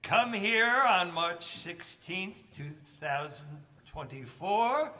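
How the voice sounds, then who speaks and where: A man's voice: a little high, bright, forceful tone. A man delivering a theatrical, scripted promotion for a stage show. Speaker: announcer promoting the next show. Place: indoor library stage performance.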